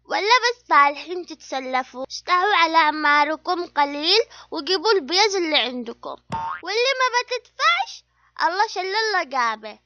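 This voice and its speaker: A woman's voice: very high-pitched, big pitch swings, brisk, bright, forceful tone, slight background noise, heavily acted. A woman voicing a bossy, cheeky young female debt collector in a cartoon, with an Adeni Yemeni accent. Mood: bossy, demanding. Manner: demanding, cheeky. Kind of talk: cartoon comedy dub. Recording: dull audio with faint music.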